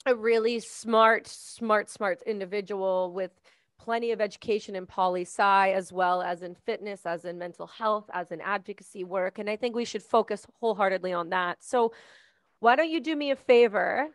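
A woman in her thirties with a Canadian accent, hosting a podcast in casual, outspoken and effusive conversation on a boom-arm studio mic. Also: normal speed, clean tone, no room sound. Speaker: podcast host. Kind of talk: casual interview chat. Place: home studio, indoors.

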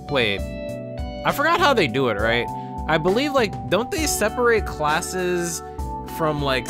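A young man doing casual gameplay commentary, reading story text aloud and reacting informally. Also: medium pitch. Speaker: let's player. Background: game music.

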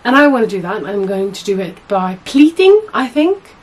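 A young woman with a husky voice, hoarse from a cold, chatting to camera as a sewing vlogger in a small room at home, rambling and correcting herself often. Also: mid-range voice, sing-song, normal speed, a little hiss or hum. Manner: chatty, rambling, many fillers and self-corrections. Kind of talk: casual chat to camera.

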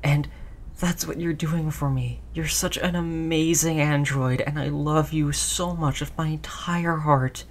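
A woman playing a gentle human who soothes their android companion in an intimate roleplay audio drama, tender and reassuring. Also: low-pitched, steady tone, a little slow, slight background noise. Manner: soothing, tender, hushed, repeating reassurances softly.

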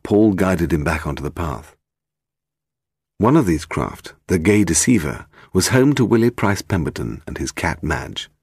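A man with a British accent and a husky voice, reading scripted fiction as an audiobook narrator. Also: low-pitched, big pitch swings, a little slow, a little mellow, no room sound, studio quality.

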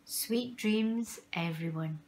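A woman reading a children's picture book aloud in an expressive, measured storytelling style with dramatic emphasis for young listeners. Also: medium pitch, background noise.

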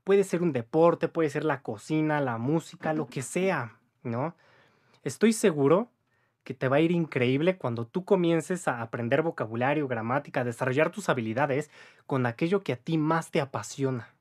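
A man's voice: a little high, moderate pace, a little mellow. Upbeat young Mexican man teaching English. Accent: Mexican Spanish. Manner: chatty, upbeat, frequent "¿va?" and "¿vale?" tag questions. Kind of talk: casual video lesson. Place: indoor room with a patterned wall.

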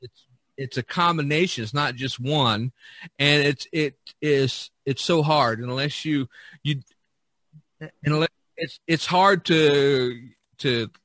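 Bright-voiced man hosting a casual podcast. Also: fairly high pitch, slow pace, long pauses, no room sound. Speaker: podcast host. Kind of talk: casual chat, reading out figures.

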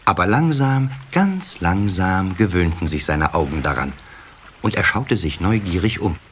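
A man narrating a children's radio play in a measured storyteller's delivery. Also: deep voice, animated. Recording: slightly hissy old cassette recording.

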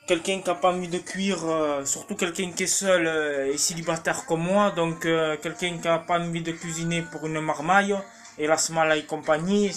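Man, 30s, Marseille accent, slightly high voice, rambling home-kitchen vlogger on an arm's-length phone. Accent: Marseille French. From Marseille, France. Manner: rambling, chatty, many fillers and restarts. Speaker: cooking vlogger. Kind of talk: casual chat to camera. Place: small home kitchen, indoors. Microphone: phone camera held at arm's length.

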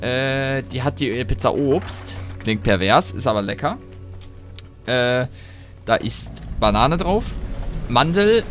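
A man's voice: medium pitch, expressive, slow pace, fairly bright tone. A man giving casual, rambling gameplay commentary with jokey asides. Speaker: let's play host. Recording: music and rain behind voice. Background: game music, rain and thunder.

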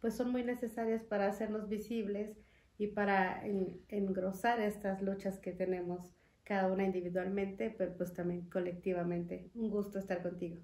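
Young woman, earnest measured guest, mellow voice, roomy echo. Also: medium pitch, little pitch movement. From Mexico, Chiapas. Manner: earnest, measured, frequent "eh" fillers. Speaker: interview guest, foundation representative. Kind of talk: conversational interview. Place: studio room with wood panels and glass. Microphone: desk mic on stand. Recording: echoey room.

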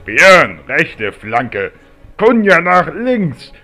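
A man playing a commanding ship's captain, barking drawn-out battle orders in a fantasy audio drama. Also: a little high, very animated, pushed and bright, soft background music, exaggerated voice. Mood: urgent, commanding. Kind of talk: fan-made fantasy audio drama acting.

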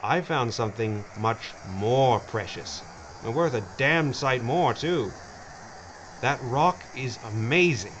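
A man's voice: mid-range voice, lively intonation, slow, a bit bright. Villain: smug greedy madman played by a man, drawling and theatrical, slightly muffled with noise behind. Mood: gloating. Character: gleeful mad villain, smug greedy schemer. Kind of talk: audio drama acting.

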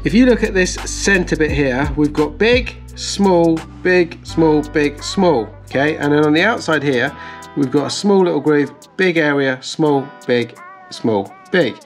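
A man's voice: on the high side, lively intonation, measured pace, faint music behind. A man in his thirties hosting a casual restoration vlog, explaining things step by step in a hands-on way. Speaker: host of a car restoration channel. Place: bright plant-filled conservatory at a table.

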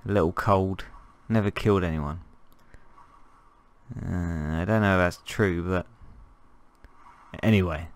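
A man with a croaky voice from a cold, chatting casually and jokingly as he plays and narrates a survival game. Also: sits low, a little slow, pauses often. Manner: rambling, jokey, thinking aloud. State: hoarse from a cold. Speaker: gameplay commentator. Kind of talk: casual gameplay commentary.

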